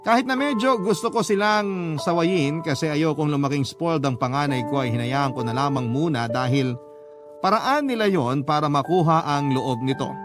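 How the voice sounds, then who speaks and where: A man narrates a scripted radio story in the first person. His voice is slightly high and sentimental, measured in delivery, with music clearly playing underneath. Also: lively intonation. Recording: narration over prominent background music.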